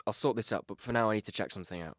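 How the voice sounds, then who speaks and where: Actor as low-voiced, determined investigator. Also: male voice, very fast, a bit bright, faint music behind, close to an everyday voice. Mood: indignant, resolute. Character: indignant, determined male investigator. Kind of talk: radio drama acting.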